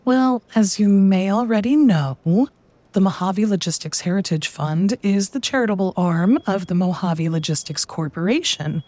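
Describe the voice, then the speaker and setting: A woman's voice: medium pitch, animated, moderate pace, a little mellow, no echo, close to an everyday voice. An actress playing a chipper female corporate charity representative with a rehearsed, promotional delivery.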